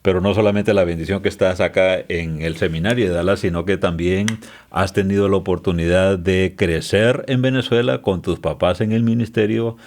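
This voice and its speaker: A man's voice: deep voice, steady tone, moderate pace, a little mellow. A man hosting a podcast interview, speaking politely and hesitantly, with frequent filler sounds. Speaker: podcast host.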